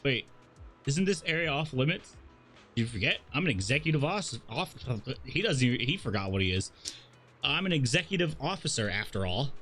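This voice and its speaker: A man's voice: medium pitch, very animated, fairly quick, fairly bright tone, faint music behind. A man livestreaming a story game, reading its dialogue aloud with casual asides and the odd stumble. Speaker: streamer playing a story game.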